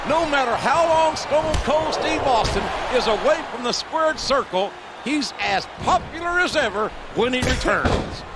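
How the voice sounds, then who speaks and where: A man with a very high-pitched, lively voice gives emphatic scripted commentary in a wrestling video game. The audio is very noisy, with slams and arena sound. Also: unhurried, few pauses.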